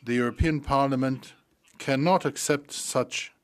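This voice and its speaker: A man delivering a political speech in a measured way, with occasional fillers. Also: medium pitch, fairly even intonation.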